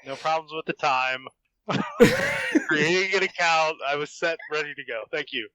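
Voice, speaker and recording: A man's voice: on the high side, moderate pace, pushed and bright, clean tone, no room sound. A man chatting casually as a guest on a group podcast over a voice call. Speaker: podcast guest, fan fiction author. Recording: dry, slightly dull voice-call audio.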